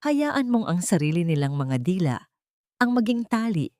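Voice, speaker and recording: A woman's voice: lowish voice, big pitch swings, normal speed, dark tone, dry recording, studio quality. A woman voicing a loyal, determined elderly friend in an urgent, earnest audio drama. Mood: earnest, conspiratorial.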